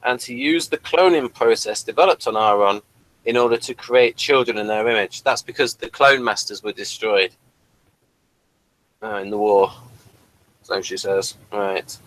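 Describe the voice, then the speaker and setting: A man's voice: fairly low pitch, moderate pace, a bit bright, low-quality recording. A man co-hosting a casual review, talking and reading aloud, sometimes stumbling and correcting himself. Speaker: review show co-host. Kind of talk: casual review chat, reading out an episode synopsis.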